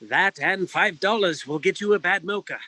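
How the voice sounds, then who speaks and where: A man acts out a prim, loyal publican in a noir comedy on stage. His voice is high and bright, with careful formal phrasing and dry understatement, recorded close and dry. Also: normal speed, no echo. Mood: deadpan. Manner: formal, fussy, precise wording with deadpan understatement. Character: proper, dryly witty male pub owner, loyal friend. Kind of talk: comic noir stage acting.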